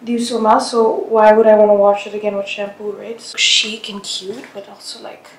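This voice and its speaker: A woman's voice: medium pitch, level tone, brisk, roomy sound, low-quality recording. A young woman in her twenties chatting casually to a handheld camera in a travel vlog, saying "like" a lot and dropping to a near whisper at times. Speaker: vlogger. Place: hotel bathroom, then mall and food court. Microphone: handheld vlogging camera.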